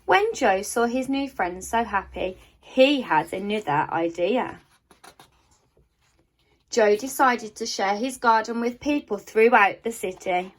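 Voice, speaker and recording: A woman reading a children's picture book aloud like a primary teacher, with expressive storytelling, deliberate pauses and emphasised key words. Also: fairly high pitch.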